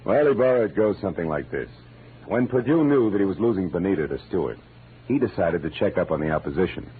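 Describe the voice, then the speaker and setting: An actor playing a wry, world-weary American private detective in 1940s radio noir, with sardonic, slangy narration. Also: male voice, deep voice, lively intonation, quick pace, slightly rough, full character voice. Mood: wry, explaining. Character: wry, hard-boiled male private detective, narrating his cases. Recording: muffled vintage radio recording, music behind.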